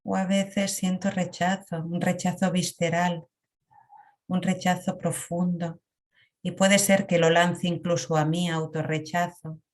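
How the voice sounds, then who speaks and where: A woman leading a guided emotional meditation in a calm, reflective way. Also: lowish voice. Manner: slow, calm, reflective guidance with long pauses.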